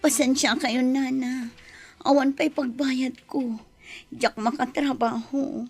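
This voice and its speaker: A woman's voice: high voice, flat delivery, takes it slowly, a little mellow, slightly rough, soft background music, exaggerated voice. An actress with an Ilocano accent playing a meek, distraught pregnant young woman in an audio drama, plaintive and pleading.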